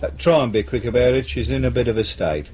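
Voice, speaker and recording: An actor playing a brisk, world-weary British detective sergeant in a radio drama, reassuring but patronising and colloquial. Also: male voice, mid-range voice, background noise, natural voice. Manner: matter-of-fact, patronising, colloquial.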